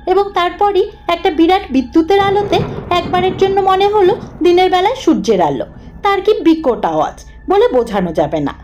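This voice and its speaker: A woman narrating a scripted horror cartoon story in the first person, dramatic and expressive. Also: very high voice, normal speed, lo-fi sound, soft background music.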